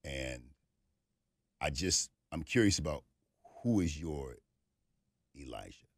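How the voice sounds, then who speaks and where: A middle-aged man hosting an interview in a radio studio, posing slow, measured questions. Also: very deep voice, animated, no room sound. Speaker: talk show host. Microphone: studio boom mic.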